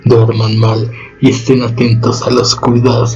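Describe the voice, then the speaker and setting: Man, even tone, dull lo-fi sound, music underneath, ominous horror host. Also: mid-range voice. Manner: slow, ominous, theatrical. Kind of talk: horror radio drama hosting.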